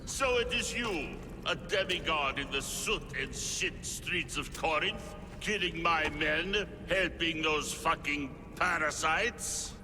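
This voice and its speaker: An actor playing a brutal, vulgar crime lord in a video game, with a sneering, threatening delivery. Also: male voice, on the high side, takes it slowly. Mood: sneering menace. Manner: sneering, foul-mouthed, threatening. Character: brutal, vulgar, menacing male crime lord of an ancient city. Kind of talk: video game cutscene acting. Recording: long reverb, loud music behind.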